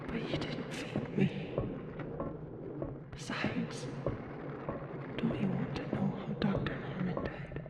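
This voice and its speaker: A woman's voice: mid-range voice, natural voice. A woman playing a giant, sedated female alien in an audio roleplay, hungry and sly, speaking in a drowsy, teasing near-whisper with seductive menace. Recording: echoey reverberant tank with loud noise. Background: loud ambient noise.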